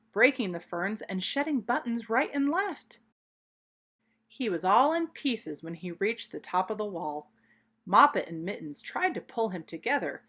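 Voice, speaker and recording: Female children's storybook narrator, mid-pitched, very animated, expressive and deliberate in delivery. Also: slightly rough. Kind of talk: expressive read-aloud storytelling.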